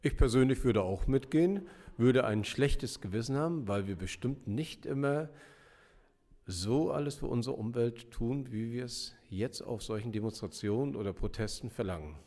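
Guy teacher getting interviewed, really mellow dark voice, echoey room. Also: medium pitch, expressive, normal speed. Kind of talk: considered interview answer. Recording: echoey hall.

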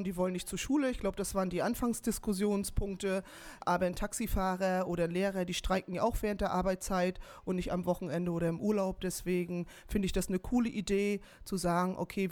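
A woman's voice: medium pitch, a little mellow. A woman, a teacher, giving casual, spontaneous answers in an interview.

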